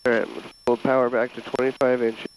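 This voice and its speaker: A man's voice: mid-range voice, flat delivery, fast talker, a little hiss or hum, low-quality recording. A man speaking casually as a pilot, explaining flying to his passenger over a headset in a small plane's cockpit. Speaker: private pilot and vlogger. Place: inside a small airplane cockpit in flight.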